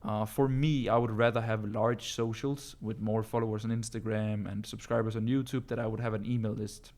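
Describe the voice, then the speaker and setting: A Danish man whose first language is Danish, talking casually in a live Q&A about business, often calling his listeners bro and filling pauses with um and uh. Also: fairly low pitch, level tone, a little mellow. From Denmark. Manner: casual, calls listeners bro, many ums and uhs. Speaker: business coach answering viewer questions.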